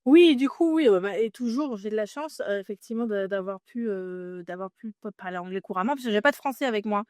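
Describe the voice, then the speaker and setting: A woman's voice: medium pitch, fast, a little mellow, no room sound, studio quality. A woman from France in her thirties, a podcast guest telling her story in a chatty way with many fillers and restarts. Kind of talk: casual interview conversation.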